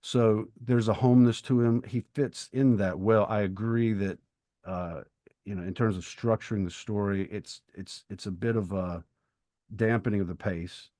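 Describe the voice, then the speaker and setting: A man with a low-pitched voice talks as a podcast co-host about books. He explains things in a measured, thoughtful manner, and the sound is dry, with very little room in it. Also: fairly even intonation, warm tone, no room sound. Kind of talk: conversational literary discussion.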